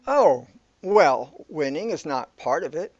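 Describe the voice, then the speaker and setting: Unhurried, higher-pitched man acting as cryptic guide. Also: expressive, a little mellow, no room sound, exaggerated voice. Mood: calm. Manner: measured, matter-of-fact, questioning. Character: calm, cryptic, all-knowing male guide. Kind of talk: sci-fi radio drama dialogue. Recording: dry, dull lo-fi audio.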